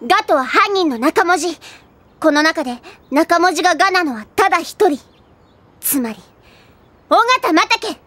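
Self-assured teenage girl detective: high, declarative, theatrical anime delivery. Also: animated, measured pace, pauses often, a bit bright, heavily acted. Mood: triumphant, theatrical. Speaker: voice actress. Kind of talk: anime audio drama acting.

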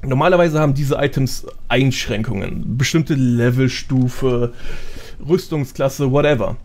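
A man with a medium-pitched voice talks as a guest on a casual gaming podcast. He explains things in slangy everyday speech, dropping in English gamer words. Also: unhurried, darkish tone.

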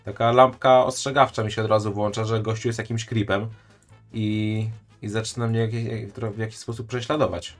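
A man's voice: lowish voice, level tone, normal speed, faint music behind. A man live-streaming his reaction to a video, chatting and joking with his viewers. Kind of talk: casual live-stream commentary.

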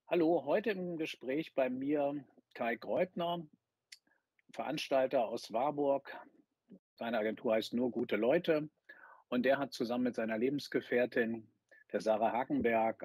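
Male interviewer, fifties, husky mid-pitched voice, conversational, dry close sound. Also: a little mellow, dry recording. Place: bright indoor room. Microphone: video call.

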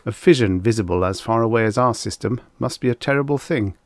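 Man playing a grim, low-voiced spaceship captain. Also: fast, no echo, close to an everyday voice. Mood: grim, resigned. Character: grim, matter-of-fact male spaceship captain. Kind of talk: audiobook character voice.

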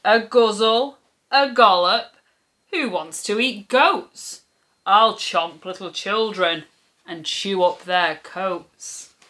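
Woman voicing a loud, greedy, hungry storybook troll. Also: medium pitch, takes it slowly. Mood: gloating chant. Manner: gobbling, relishing, grunting and growling. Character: greedy, hungry troll who relishes eating children. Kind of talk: rhyming children's storybook character voice.

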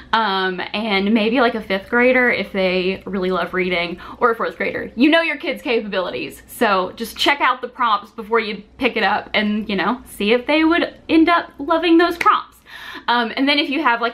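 A woman's voice: mid-range voice, fairly bright tone. A woman in her twenties hosting a casual, chatty explainer to camera, speaking informally with plenty of filler words like "like". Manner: informal, filler-heavy with frequent "like". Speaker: video host presenting a reading challenge. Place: small furnished home room, indoors.

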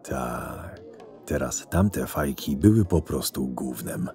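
Male actor, shrewd sardonic schemer, measured fantasy narration, extremely deep, music behind. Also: expressive, mellow, heavily acted. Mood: sardonic, reflective. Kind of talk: first-person dramatic narration in fantasy audio drama.

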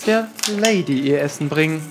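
A man's voice: a little high, lively intonation, normal speed. Man narrating a tabletop mystery, music behind. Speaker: game master and narrator. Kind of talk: narrating a horror tabletop role-playing game.

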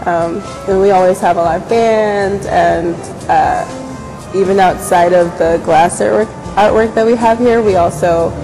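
A woman's voice: lowish voice, steady tone, unhurried, low-quality recording. A young woman, talking casually about her glass studio in an interview, with plenty of fillers and restarts. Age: young adult. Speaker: glass studio staff member. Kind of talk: on-camera interview about a venue. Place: large indoor gallery space. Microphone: lapel mic. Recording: music bed behind the voice.

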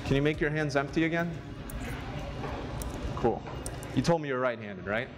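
A man's voice: animated, normal speed. A man in his twenties talking casually as a close-up street magician, explaining and performing. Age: 20s. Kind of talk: interview talk and casual performance patter. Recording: music underneath, subway train rumble.

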